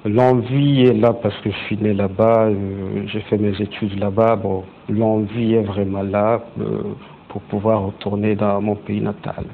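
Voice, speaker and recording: A man guest giving plain, measured answers about his past in an interview. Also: lowish voice, little pitch movement. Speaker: panel guest. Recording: tinny phone-like sound with faint noise.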